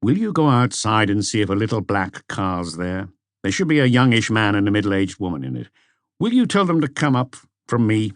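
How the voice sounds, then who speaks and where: Low, measured police inspector read by a man. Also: very animated, no echo, studio quality. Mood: brisk, instructing. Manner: measured, politely insistent questioning. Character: calm, probing male police chief inspector.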